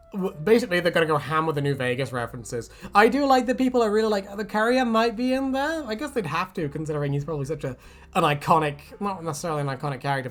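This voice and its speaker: A man hosting a casual chat in a rambling, joking way with crude humour. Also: fairly high pitch. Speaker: host.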